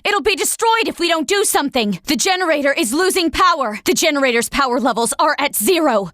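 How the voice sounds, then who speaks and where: A woman's voice: sits high, animated, fairly quick, fairly bright tone, dry recording, clean studio sound. A woman voicing an urgent military operations officer in a video game, giving formal, commanding announcements in a clipped delivery. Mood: alert, tense. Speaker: game voice actor.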